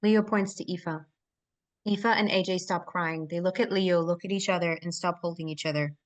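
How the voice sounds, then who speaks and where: Female narrator, slightly low voice, reading screenplay action lines and scene headings. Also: fairly even intonation, moderate pace. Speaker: stage directions reader at a screenplay table read.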